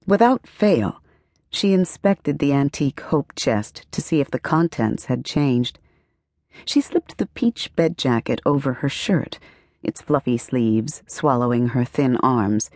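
A woman narrating a literary novel as an audiobook, with measured, expressive storytelling and careful phrasing. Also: deep voice, no room sound, clean studio sound. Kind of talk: scripted literary fiction narration.

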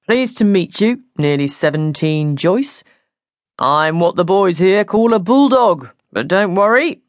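Pompous, slow-spoken porter man, acted by a woman. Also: fairly low pitch, no room sound, studio quality. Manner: pompous, talking as if trying to sound posh. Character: stuck-up porter man in his thirties, posh-aspiring, officious. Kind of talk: audiobook character dialogue.

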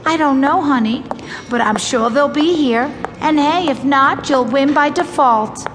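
A woman's voice: high voice, a little slow, noisy background. An actress playing a pushy, gushing pageant mother who talks to her young daughter with breathless, exaggerated emphasis in an audio drama. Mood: coaxing, insistent.

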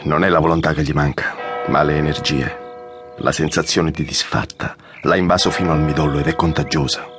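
An actor playing a loyal, war-worn colonel, measured, sombre and respectful, in an audio drama. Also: male voice, extremely low pitch, fairly even intonation, over music. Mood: grave, disillusioned. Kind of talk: Italian audio drama acting.

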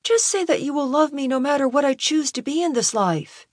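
Woman as an anguished young son, emotional, high pitch, pleading and defiant, audiobook character. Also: animated, a little fast, dry recording, studio quality. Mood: tearful pleading. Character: anguished young man pleading with and defying his parents.

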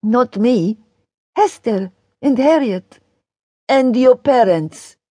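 A woman's voice: on the high side, expressive, slow pace, warm tone, breathy edge, no echo, voice pushed up, exaggerated voice. A woman voicing an earnest, severe foreign mother in an audiobook, with a foreign accent and clipped, formal non-native phrasing. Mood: admonishing.

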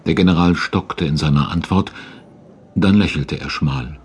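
A man's voice: a bass voice, a little slow, a little mellow, slightly rough. A man giving dramatic, scripted audiobook narration as the storyteller of a historical radio play. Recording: narration over battle sound effects.

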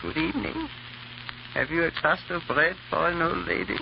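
A man voicing a pleading old beggar woman in a thin, creaky falsetto on a muffled, hissy vintage radio recording. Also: big pitch swings, moderate pace. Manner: pleading, polite. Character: quavering old beggar woman, a hag in disguise.

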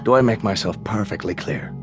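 A man playing a pompous, stern bank president in a radio drama, speaking in precise, emphatic, drawn-out phrases. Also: lowish voice, faint music behind, lightly acted. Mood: threatening.

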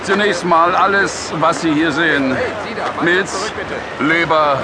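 An actor playing a bossy, irritable police sergeant in a crime audio drama, exasperated and sardonic. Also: male voice, fairly high pitch, slow pace. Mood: grim, sardonic. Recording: loud outdoor street noise, crowd.

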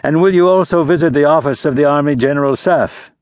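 A man's voice: fairly high pitch, quick pace, a little mellow, dry recording, voice pushed up, close to an everyday voice. A man voicing a scheming male ambassador prince of about forty, with a mocking, careless, lofty manner.

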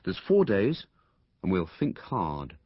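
A man's voice: sits low, huge pitch swings, slow pace, breathy edge, no echo, muffled recording, full character voice. A man voicing an earnest, restless schoolboy ringleader in a story reading.

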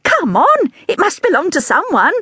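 A woman voicing a cheerful, scatterbrained young woman teacher with a high, sing-song manner. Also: wildly swooping pitch, moderate pace, pushed and bright, no echo, studio quality, heavily acted. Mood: bubbly.

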